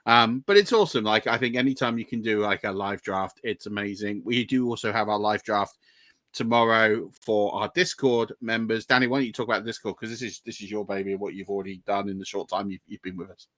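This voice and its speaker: A man's voice: on the low side, a little fast, fairly bright tone, no room sound. A man hosting a podcast, chatting casually in an upbeat, welcoming way. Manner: upbeat, welcoming, many fillers. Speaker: podcast host.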